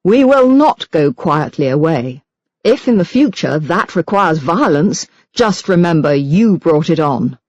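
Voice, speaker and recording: A woman's voice: deep voice, lively intonation, a little slow, darkish tone, no room sound. Woman reading as a venomous religious zealot, close and dry. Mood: scornful, threatening. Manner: scornful, threatening, emphatic. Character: venomous, self-righteous religious hate-mail writer. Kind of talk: dramatised audiobook reading of letters.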